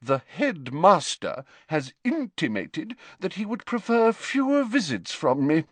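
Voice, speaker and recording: A haughty older woman who teaches divination, acted out by a male storybook reader. The voice is pitched high and swings widely, drawing words out with theatrical, mystical dignity. She sounds self-important and easily affronted, and the recording is close and dry. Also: sing-song, measured pace, no echo, exaggerated voice. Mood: haughty, indignant.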